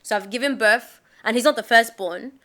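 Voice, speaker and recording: A woman's voice: fairly high pitch, moderate pace. A young Nigerian woman co-hosting a casual podcast chat, telling stories with emphatic reactions. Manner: animated storyteller, emphatic. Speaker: podcast co-host.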